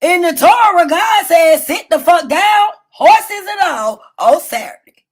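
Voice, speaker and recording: A woman hosting a casual livestream, telling stories in a loud, profane and animated way. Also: a little slow. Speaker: livestream host. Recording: low-quality phone stream.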